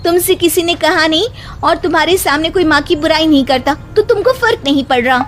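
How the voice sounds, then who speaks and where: A woman playing a sharp-witted young daughter-in-law with a pert, emphatic manner in a cartoon moral story. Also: very high voice, fairly quick, background noise. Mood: complaining. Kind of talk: animated moral story dialogue. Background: background music.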